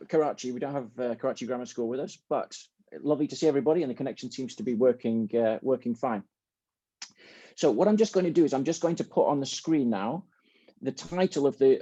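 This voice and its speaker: Mellow man moderating, dry muffled audio. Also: mid-range voice, slightly rough, no echo. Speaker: host and moderator of a student symposium. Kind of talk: informal moderating on a group video call. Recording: dull, dry video-call audio.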